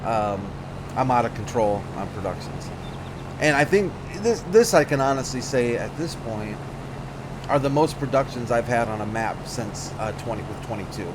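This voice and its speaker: A man's voice: mid-range voice, animated, moderate pace, noisy background. Man rambling through gameplay commentary. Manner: rambling, self-correcting, thinks aloud. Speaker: let's play gamer.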